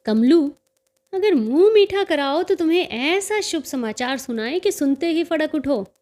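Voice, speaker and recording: A woman's voice: high voice, expressive, unhurried, a little mellow. A woman voicing a playful, teasing young sister-in-law in a storybook reading.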